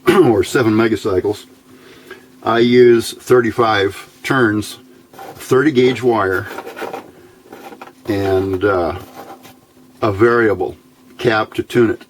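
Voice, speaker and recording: Slow, low-voiced man explaining hands-on. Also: a little hiss or hum. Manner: halting, unhurried, thinking aloud. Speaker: electronics hobbyist video host. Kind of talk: casual hands-on tutorial explanation.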